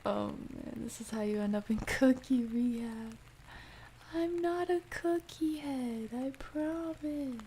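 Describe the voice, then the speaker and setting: A woman with a fairly high, soft voice chats in a casual ASMR livestream style. She speaks slowly and gently, often slipping into whispers, with a creaky fry in her tone. There is faint noise behind her. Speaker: livestream host. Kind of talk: ASMR livestream, casual chat reading and answering viewer comments.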